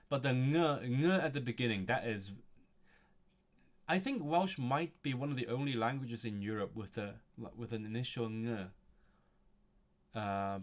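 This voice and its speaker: A man streaming a language study session, reading from a textbook and thinking aloud with frequent chuckles. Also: medium pitch. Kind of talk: casual livestream, reading a textbook aloud and commenting.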